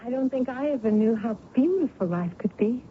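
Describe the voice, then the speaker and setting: A woman's voice: fairly high pitch, animated, a little fast, natural voice. Woman acting earnest young heroine on thin old radio. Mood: tender, hesitant. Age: young adult. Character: young woman in love, hesitant and superstitious. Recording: muffled, band-limited vintage radio recording, faint noise.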